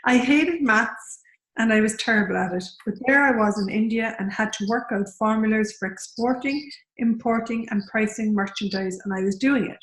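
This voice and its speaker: In a roomy, echoing space, a woman with a fairly high voice co-hosts a book club. She talks in an informal motivational style, leaning on key phrases with emphasis. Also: animated, unhurried, fairly bright tone. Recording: roomy, echoey sound.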